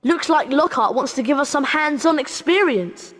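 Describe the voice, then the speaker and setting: An eager schoolboy wizard with a very high, lively voice. The plain-spoken video game lines sit in hall reverb with faint music. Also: normal speed. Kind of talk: video game cutscene acting.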